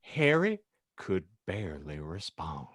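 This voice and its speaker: A man's voice: sits low, big pitch swings, slow pace, a bit bright, dry recording. A man narrating a comic audiobook with mock-earnest, theatrical delivery. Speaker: podcast host and narrator.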